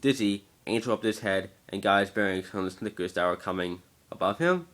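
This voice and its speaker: A man's voice: lowish voice, fairly quick, fairly bright tone. A man giving an amateur scripted narration of a story, now and then stumbling over the words.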